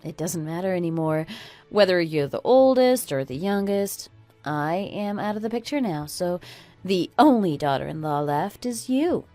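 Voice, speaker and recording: A woman playing a fed-up, sharp-tongued married woman in a radio drama, speaking in an emphatic, scornful, lecturing manner. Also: on the low side, animated, moderate pace, no echo, faint music behind, close to an everyday voice. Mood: scornful, triumphant. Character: fed-up, sharp-tongued oldest daughter-in-law, a divorcing breadwinner. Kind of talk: scripted radio drama acting.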